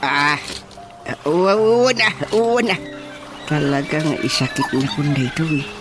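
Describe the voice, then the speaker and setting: Low, stammering, flustered comic character. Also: female voice, lively intonation, a little slow. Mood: reluctant. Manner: stammering, full of groans and exclamations. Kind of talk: radio comedy drama acting. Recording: clear music behind the voice.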